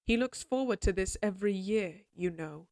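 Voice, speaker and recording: A woman's voice: medium pitch, moderate pace, a little mellow, no room sound, natural voice. A woman acts out an imperious middle-aged lady of a fantasy castle. She speaks formally and with clipped command, in a very dry, close recording. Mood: softened. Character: stern, imperious noble mother of a lady knight. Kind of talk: scripted fantasy audio roleplay acting.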